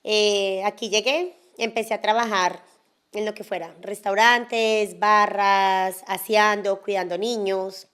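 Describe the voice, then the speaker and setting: A Colombian woman from Cali speaks as a podcast guest, her voice sitting at an ordinary middle pitch. She tells her own story frankly and casually, drifting through fillers and small asides. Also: slow pace, smooth. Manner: frank, relaxed, many fillers and little asides. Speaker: interview guest.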